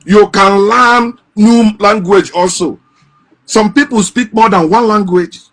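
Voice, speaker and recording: Impassioned man, fairly high voice. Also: takes it slowly, a bit bright. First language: Igbo. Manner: impassioned, repeats and restarts phrases. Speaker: host. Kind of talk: casual monologue.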